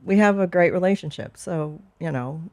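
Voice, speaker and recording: A woman's voice: lowish voice, normal speed, a little mellow. Frank middle-aged woman chatting casually. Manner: frank, informal. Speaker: podcast co-host. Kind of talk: candid casual couple chat.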